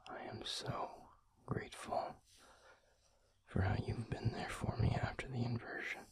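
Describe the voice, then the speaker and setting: A man playing a tender, protective werewolf boyfriend in an intimate roleplay audio drama, speaking in a hushed, breathy, pleading way. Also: steady tone, measured pace, whispered, natural voice. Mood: tender, reassuring. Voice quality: breathy, gravelly, husky low voice. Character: tender, protective, stubbornly caring adult male werewolf boyfriend.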